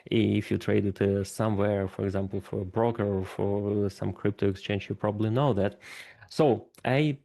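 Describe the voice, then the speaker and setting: A man's voice: lowish voice, fairly even intonation, moderate pace, vocal fry, dark tone. A man giving a technical conference talk, thinking aloud and explaining ideas step by step. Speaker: conference speaker. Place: event stage.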